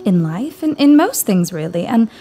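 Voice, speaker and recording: An actress playing a sensible, caring female companion to a scholar in an audio drama, expressive and gently chiding. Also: medium pitch, moderate pace, soft background music. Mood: content, earnest.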